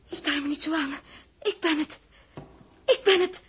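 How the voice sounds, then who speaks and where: A woman playing a guilt-ridden, frightened young space crew member in a 1960s radio drama, halting and often dropping to whispers. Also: high-pitched, a bit bright. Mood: fearful, pleading. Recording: noisy vintage 1960s radio recording.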